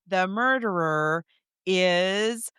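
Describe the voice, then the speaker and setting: A woman with a fairly low, clear voice chats as a podcast co-host. She retells a film casually, like someone telling a story to a friend. The recording is close and dry. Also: very slow, fairly bright tone, no room sound. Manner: conversational storytelling, acting out characters' reactions. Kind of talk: casual film recap chat.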